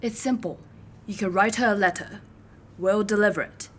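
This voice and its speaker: A woman acting a brusque, impatient clerk in an audio drama, curt and sardonic with a deadpan, dismissive delivery. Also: medium pitch, normal speed, slight background noise, close to an everyday voice. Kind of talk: naturalistic fiction podcast acting.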